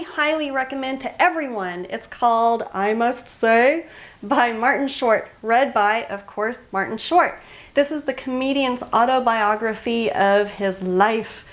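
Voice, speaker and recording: A woman hosting a book review channel, talking in a chatty, enthusiastic and emphatic way straight to her viewers. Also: on the high side. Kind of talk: casual scripted book recommendation to camera.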